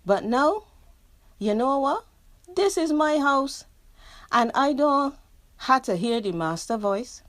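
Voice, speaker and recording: A woman's voice: sits high, slow pace, long pauses, no room sound. Barbadian woman reading her poetry. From Barbadian family heritage, living in England. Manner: rhythmic, expressive reading in dialect. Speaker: poet guest. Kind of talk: poetry reading and radio interview.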